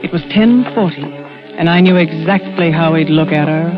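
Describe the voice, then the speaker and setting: A woman's voice: low-pitched, over music, lightly acted. A woman voicing a tense scheming stand-in, dull old radio. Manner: clipped, measured, suspenseful telling. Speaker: stand-in narrating her crime. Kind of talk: 1940s radio drama narration.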